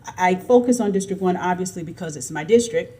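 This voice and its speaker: A woman with a notably low voice speaks as a city commissioner at a public meeting. She questions staff in an earnest, measured way. A faint background hiss sits under the recording of the council chamber. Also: warm tone.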